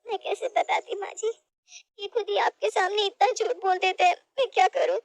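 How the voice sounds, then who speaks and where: A woman's voice: very high voice, steady tone, normal speed, voice pushed up. A woman voicing a meek young wife. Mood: pleading. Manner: hesitant and pleading.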